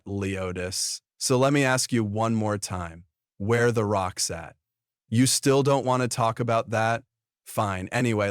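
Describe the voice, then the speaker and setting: A man's voice: mid-range voice, dry recording, clean studio sound. A man hosting online gossip commentary in a blunt, mocking, taunting way.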